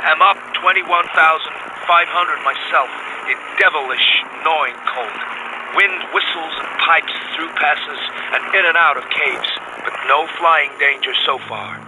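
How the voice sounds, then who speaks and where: An actor playing an excited polar expedition scientist, sending clipped, telegraphic reports over a crackly radio in a drama. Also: male voice, a little high, unhurried. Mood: exhilarated. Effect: crackly radio transmission.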